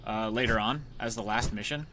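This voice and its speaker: A man's voice: fairly low pitch, a little fast. Joking male speedrunner over noisy game audio. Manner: joking, tongue-in-cheek. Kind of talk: casual live gameplay commentary. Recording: clear background noise from game sounds. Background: game sounds and clicks.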